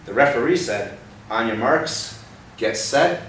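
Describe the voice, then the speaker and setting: A young man reading a picture book aloud to a child, pausing often to ask gentle questions about the words. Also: medium pitch. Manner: expressive storybook reading broken by gentle teaching questions. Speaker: read-aloud host. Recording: roomy, distant mic with background noise.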